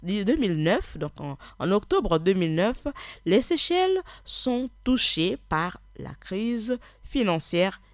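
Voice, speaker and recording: A woman's voice: on the low side, a little mellow, dry recording, studio quality. A woman whose first language is Punu, hosting a radio program in an unscripted, hesitant way, full of restarts and "alors". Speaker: radio host. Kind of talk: radio talk presentation.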